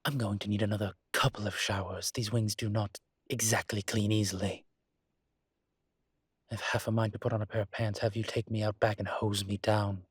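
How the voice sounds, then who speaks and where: A man playing a suave ancient demon professor in an intimate roleplay audio drama, with a husky, breathy low voice and a dry, teasing, urbane manner. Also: little pitch movement, moderate pace, no room sound. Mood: playful grumbling.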